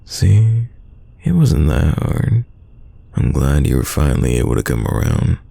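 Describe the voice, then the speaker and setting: A man acting a teasing, stubborn young friend in an intimate roleplay audio drama, with a very deep, gravelly voice and a casual delivery full of drawn-out sighs. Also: sing-song, dark tone, no echo, exaggerated voice. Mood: insistent, smug.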